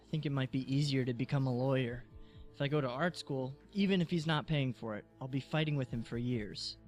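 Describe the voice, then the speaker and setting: A man's voice: mid-range voice, a little fast, soft background music, lightly acted. Troubled youth male actor, soap drama: sullen, bitter, sardonic, mid-range voice. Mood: despondent. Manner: glum, sardonic. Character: troubled, sullen young male art student, withdrawn and bitter. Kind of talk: scripted audio soap drama acting.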